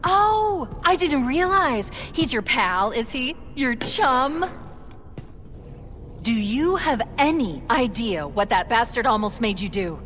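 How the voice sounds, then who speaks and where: A woman playing a sharp-tongued, defiant female artificial intelligence in a video game, sarcastic, biting and emphatic. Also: a little high, lively intonation, slow, big-room sound, a little hiss or hum. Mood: bitter, sarcastic. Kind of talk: video game cutscene acting.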